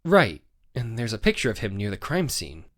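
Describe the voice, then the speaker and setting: A woman voicing a dry, sarcastic young male lawyer with deadpan asides in a video game. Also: very deep voice, normal speed, heavily acted. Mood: pensive. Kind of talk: scripted game dubbing. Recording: background music throughout.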